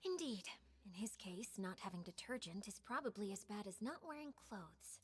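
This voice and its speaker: A woman's voice: medium pitch, expressive, moderate pace, husky, soft background music. A woman voicing a polite, composed young female investigator with an analytical manner in a video game. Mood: considering.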